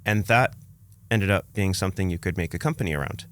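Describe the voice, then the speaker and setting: A man's voice: sits low, fairly quick, fairly bright tone. A man, telling his own story conversationally as a podcast guest. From Canada, born in Romania.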